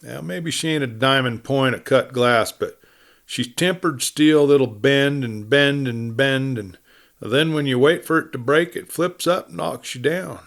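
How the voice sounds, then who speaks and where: A man's voice: mid-range voice, unhurried, gravelly, a bit bright. A man voicing an opinionated, tough cowboy in a storybook western, lecturing in a folksy American Western drawl. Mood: pitying, preachy.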